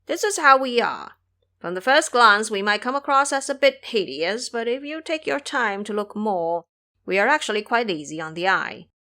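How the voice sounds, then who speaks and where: A woman's voice: on the high side, expressive, normal speed, sharp tone. A woman voicing a boastful, foolish male pig monk with a brash, bragging manner in an audio drama. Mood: brash, cheerful.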